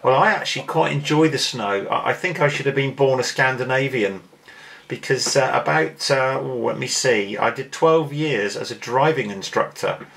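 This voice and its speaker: A man's voice: medium pitch, moderate pace, creaky, a bit bright. A middle-aged man with an English accent, chatting in an easygoing way over amateur radio. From England. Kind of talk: casual radio contact chat.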